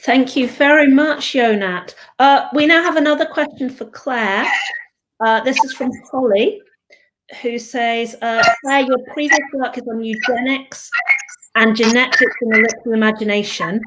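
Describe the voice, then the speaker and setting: A woman's voice: fairly high pitch, moderate pace, very noisy. A woman hosting an online seminar, thanking speakers and reading out audience questions politely. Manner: polite, reads questions aloud. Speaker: seminar host. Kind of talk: moderating questions. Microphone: video call.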